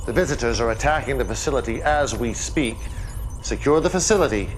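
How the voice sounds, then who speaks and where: Guy acting as game villain cult leader, grand n deliberate, commanding, bit of background hiss. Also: mid-range voice, lively intonation, close to an everyday voice, rough recording. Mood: grave, urgent. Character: commanding, messianic cult leader, calm and menacing. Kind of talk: dramatic video game cutscene acting.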